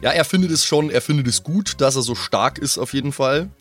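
A man's voice: medium pitch, lively intonation, a little fast, fairly bright tone, soft background music. A man casually describing a game character as a player, colloquial and thinking aloud. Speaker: tabletop role-playing podcast player.

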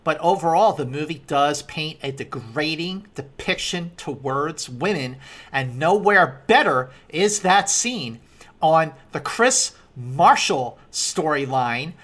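A woman hosting a casual film podcast, arguing in a halting but insistent way. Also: low voice, expressive, fairly bright tone. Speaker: podcast host. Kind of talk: casual movie debate.

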